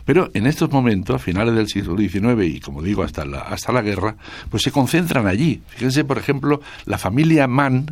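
A man's voice: mid-range voice, lively intonation, brisk, dry recording. An older man from Spain, a guest author speaking in a radio interview, thoughtful and hesitant with many restarts.

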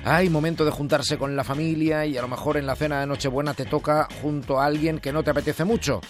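A man's voice: fairly high pitch, steady tone, quick pace. A man with an accent from Spain hosting a radio show, informal and conversational, talking straight to listeners. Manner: informal, addresses listeners directly. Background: music.

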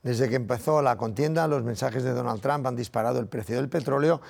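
Gravelly Castilian male news presenter. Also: mid-range voice, mellow. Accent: Castilian Spanish. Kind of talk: scripted news reading.

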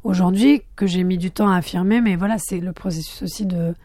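Thoughtful woman filmmaker, mellow and dry. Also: lowish voice, no room sound. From France. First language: Arabic. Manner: thoughtful, searching for words with many pauses and restarts. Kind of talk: reflective interview answers.

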